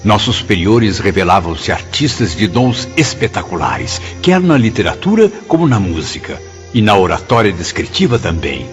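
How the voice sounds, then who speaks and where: An actor with a Brazilian accent playing a dignified spirit who speaks in a solemn, literary radio drama style. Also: male voice, fairly low pitch, very animated, moderate pace. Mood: solemn recollection. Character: dignified male spirit recounting his afterlife service. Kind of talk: dramatised first-person narration. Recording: dull lo-fi track, music bed.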